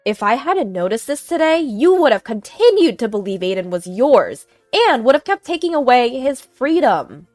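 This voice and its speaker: A woman voicing a protective older grandmother who rebukes sharply and forcefully in a story. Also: on the high side, big pitch swings, moderate pace, hardly any pauses, no echo, faint music behind, voice pushed up. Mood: furious.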